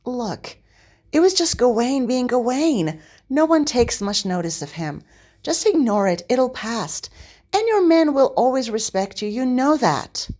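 A woman's voice: a little high, very animated, unhurried, a little mellow, pitched above the performer's own voice. A woman voicing a cheeky young male manservant in a story, speaking in a familiar, pleading, teasing way. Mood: placating.

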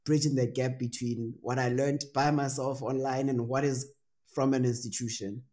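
A man's voice: mid-range voice, flat delivery, normal speed, dark tone. A young man hosting a podcast interview, thoughtful and rambling, with many fillers and restarts. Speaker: podcast host. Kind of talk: casual interview.

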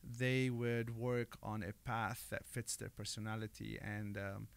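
A man talks through his story as an interview guest, relaxed and loose with plenty of fillers and restarts. His voice has a gravelly edge and a touch of fry. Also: lowish voice, measured pace. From Lebanon. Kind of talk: casual interview chat.

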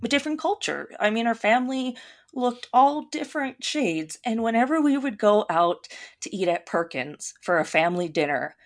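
A woman's voice: fairly high pitch, big pitch swings, moderate pace. A woman from Minnesota with a Minnesota accent, a podcast guest talking casually and thoughtfully, with many ums and restarts. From Minnesota, USA. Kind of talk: casual conversation.

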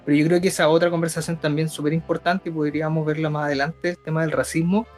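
Male co-host, Chilean accent, somewhat high register, casual and hesitant discussion, faint music underneath. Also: flat delivery, quick pace, darkish tone. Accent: Chilean Spanish. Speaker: radio show co-host. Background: background music.

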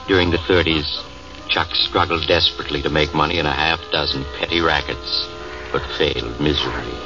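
Very deep, grave radio narrator. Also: male voice, fairly even intonation. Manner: grave, measured, dramatic. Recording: muffled old radio broadcast, distant, music under.

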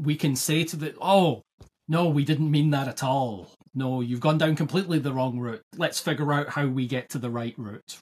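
A man's voice: a little high, breathy edge. A man from Scotland with a Scottish accent, co-hosting a podcast in casual discussion, thoughtful and often restarting as he searches for the right word. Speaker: podcast co-host.

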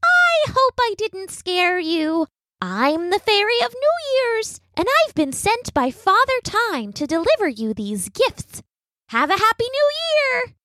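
A woman's voice: very high voice, sing-song, a little slow, pushed and bright, no room sound, pitched above the performer's own voice, exaggerated voice. A woman doing a tiny chirpy fairy. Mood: cheerful. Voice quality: pleasant little voice. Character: tiny cheerful female New Year's fairy.

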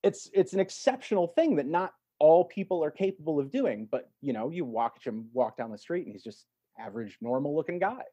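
A man's voice: fairly high pitch, lively intonation, a little fast, mellow, husky. A man talking casually as a podcast guest, explaining things in a thoughtful, conversational way.

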